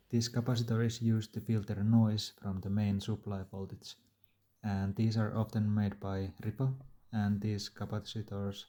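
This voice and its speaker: Man, lowish pitch, very mellow dark tone, instructional narration, matter-of-fact, step-by-step. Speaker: repair tutorial presenter.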